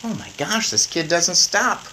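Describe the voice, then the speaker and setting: A woman reading a story aloud as narrator, expressive, with casual asides to the listeners. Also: on the low side, a little slow, a little hiss or hum, low-quality recording. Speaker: story reader.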